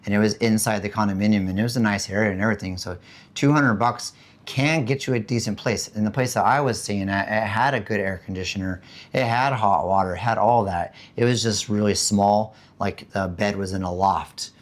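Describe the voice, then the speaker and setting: A man from the United States with a hoarse voice after being sick, chatting casually and rambling about living costs, with many fillers and restarts. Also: fairly low pitch, brisk. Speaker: vlogger. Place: home in the Philippines.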